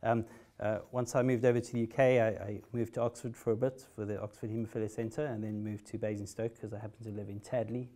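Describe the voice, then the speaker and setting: A middle-aged man with a South African accent speaks at a conference, pausing often with fillers and restarting his sentences. His voice sits fairly low and sounds dark and mellow. He keeps a dry, wry humor running through the talk. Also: level tone. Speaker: patient speaker.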